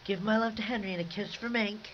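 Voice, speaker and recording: A man's voice: high voice, steady tone, quick pace, fairly bright tone. A man playing a chatty, breezy housewife friend with a gossipy manner, in 1950s radio drama style. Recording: dull lo-fi radio recording, faint hiss.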